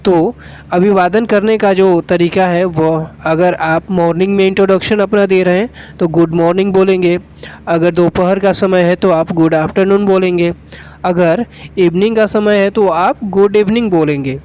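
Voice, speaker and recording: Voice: medium pitch, steady tone, normal speed, darkish tone, clean tone. A teacher with an Indian accent, giving an instructional lesson step by step in a methodical, repetitive way. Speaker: English teacher.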